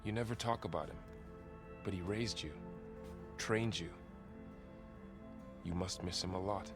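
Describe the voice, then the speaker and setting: An actor playing a gentle, caring young male companion in a video game, soft-spoken and earnest. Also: low-pitched, a little slow. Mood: concerned, gentle. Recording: music under the voice.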